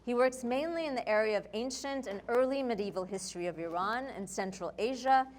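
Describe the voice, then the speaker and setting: A woman's voice: a little high, a little fast. Female panel moderator, formal read-out intro, faint background hiss. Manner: formal, reads a prepared introduction. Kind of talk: introducing a speaker. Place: lecture hall.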